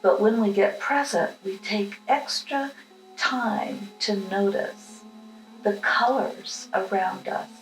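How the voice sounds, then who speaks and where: Slow-paced woman leading guided meditation. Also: mid-range voice. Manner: calm, unhurried, instructional. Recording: roomy echo with music behind.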